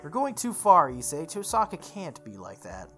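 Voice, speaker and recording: Woman reading as an earnest, low-voiced schoolboy. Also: huge pitch swings, moderate pace, a bit bright, heavily acted. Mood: indignant. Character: earnest, puzzled schoolboy, defensive of a classmate. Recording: music playing behind the voice.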